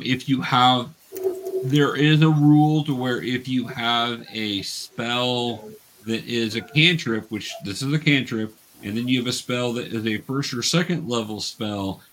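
A man's voice: mid-range voice, a bit bright, rough recording. A man running a tabletop game for young beginners as game master, patiently explaining each step.

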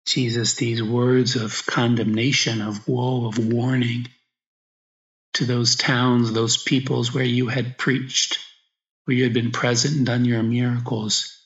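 A man, a priest guiding a meditation, speaks slowly and reflectively in short phrases with pauses, as in spoken prayer. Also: mid-range voice, level tone, fairly bright tone. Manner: slow, reflective, pausing between short phrases. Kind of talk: guided meditation, spoken prayer with gospel reading. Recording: echoey room, slightly dull sound.

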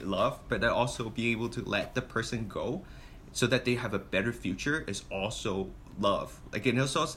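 A man's voice: fairly low pitch, normal speed, a bit bright, slight background noise. Earnest young man from Hong Kong. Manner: earnest, rambling, laughs often. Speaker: podcast co-host. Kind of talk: casual chat.

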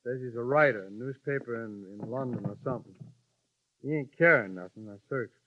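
A man's voice: medium pitch, brisk, a little hiss or hum, close to an everyday voice. An actor playing a surly, suspicious frontier henchman in a 1950s radio western, curt and menacing, with an American western accent. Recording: muffled vintage radio broadcast.

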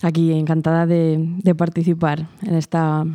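A woman from answers interview questions. Her voice is mellow and warm. Her manner is quiet, reserved and thoughtful. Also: sits low, monotone, normal speed, smooth. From Spain. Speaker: guest, journalist.

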